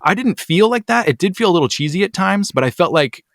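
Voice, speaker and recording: A man hosting a podcast, talking casually with lots of ums and quick asides. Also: high-pitched, animated, a bit bright, dry recording, studio quality. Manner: chatty, many ums, rising asides. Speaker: podcast host. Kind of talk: casual chat.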